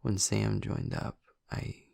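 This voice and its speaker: Hushed, gravelly young male vampire played by a man. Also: lowish voice, little pitch movement, slow, no room sound, clean studio sound, full character voice. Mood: hesitant, reflective. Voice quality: breathy, husky, gravelly murmur. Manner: hesitant, rambling, casual. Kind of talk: intimate audio roleplay addressed to the listener.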